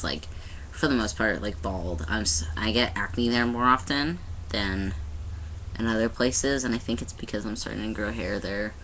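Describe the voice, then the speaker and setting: A man's voice: fairly low pitch, pushed and bright, a little hiss or hum, low-quality recording. A young man talking casually to his camera in a personal video diary, rambling with many restarts and fillers. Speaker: vlogger. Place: indoors in front of a light.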